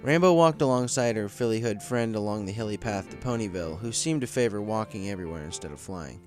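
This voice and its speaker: A man's voice: on the low side, lively intonation, fast talker. Man narrating a story over faint music. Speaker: story reader. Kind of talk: scripted narration.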